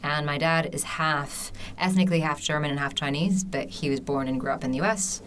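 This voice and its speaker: A woman's voice: low-pitched, fairly quick, fairly bright tone. A young woman from Hong Kong, a podcast guest, chatting casually with a dry, self-deprecating humor. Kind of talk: casual interview chat. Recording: faint background noise.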